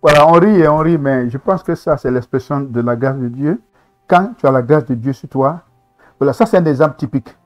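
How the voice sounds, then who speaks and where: A man's voice: mid-range voice, fairly quick, dull audio, soft background music. A man hosting a reaction video, commenting on gospel singers with enthusiastic, preacherly remarks. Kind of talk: casual commentary on a talent show.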